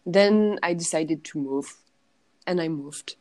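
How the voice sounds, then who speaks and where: A woman with a French accent, a guest in a casual interview, often pausing and searching for words. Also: sits low, darkish tone. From France.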